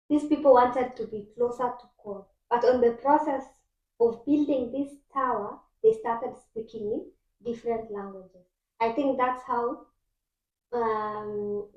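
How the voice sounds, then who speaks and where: A young-sounding woman with a fairly high voice reads quiz questions to her sister, breaking into giggles between them. The room around her rings with echo. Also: dull audio. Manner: giggly, reading questions aloud. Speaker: quiz asker. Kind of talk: casual bible quiz chat. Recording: echoey room.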